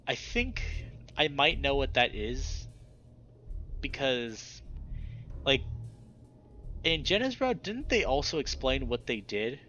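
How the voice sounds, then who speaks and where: A man reading a visual novel aloud and commenting casually as a video host, expressive when he reacts. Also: medium pitch, slow, pauses often. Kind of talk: casual let's play commentary and narration. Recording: slightly dull recording with background music. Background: game music.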